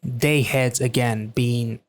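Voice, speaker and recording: An enthusiastic man hosts a casual reaction to a song. His voice sits at a middle pitch. He comments on lyrics in a loose, conversational way and swears freely. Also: fairly even intonation, takes it slowly. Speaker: music reaction host.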